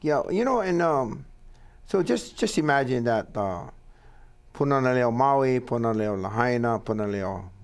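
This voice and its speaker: A man from Hawaii, talking in a casual studio chat and pausing often on "uh" in a plain, pidgin-tinged way. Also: medium pitch, expressive. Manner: halting, many "uh" fillers, plain pidgin-tinged phrasing. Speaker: foundation founder, co-host.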